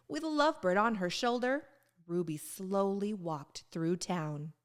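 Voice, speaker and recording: A woman reading a children's storybook aloud with expressive, sing-song emphasis. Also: medium pitch. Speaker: children's book reader.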